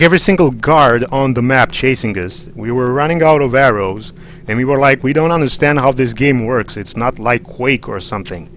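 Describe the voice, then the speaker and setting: A man's voice: mid-range voice, fairly bright tone. A man from Romania, chatting casually and a little hesitantly as he hosts a live game stream on a headset microphone. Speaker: livestream host, gamer. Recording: noisy headset with game sound behind.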